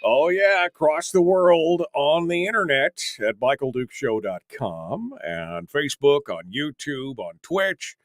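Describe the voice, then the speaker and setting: A man's voice: fairly high pitch, animated, unhurried, few pauses, no echo. A man hosting a live talk radio show in a studio, rambling with many fillers, joking and self-deprecating.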